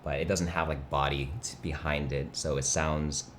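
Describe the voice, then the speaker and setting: A young man whose first language is Mandarin, chatting casually on a livestream with a nasal, vocal-fry voice and a laid-back, rambling manner. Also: extremely low pitch, little pitch movement, normal speed, slight background noise. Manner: laid-back, rambling, reads chat aloud. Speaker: livestream host. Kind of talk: casual chat.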